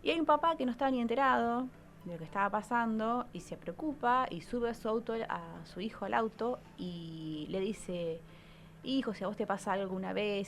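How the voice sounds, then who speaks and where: A woman's voice: mid-range voice, slight background noise. A woman with an Argentine accent talking conversationally as an expert radio guest, explaining things and often checking with little tag questions. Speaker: psychologist guest columnist.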